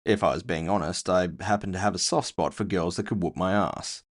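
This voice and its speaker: A man's voice: sits low, fairly even intonation, brisk. A man narrating scripted first-person fiction with a wry storytelling manner.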